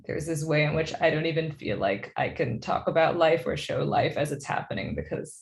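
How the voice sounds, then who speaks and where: Female, 20s, artist panelist. Expressive intonation with vocal fry, reflective and halting, conversational. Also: low voice. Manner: halting, searching, frequent "like". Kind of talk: reflective casual discussion at a virtual panel.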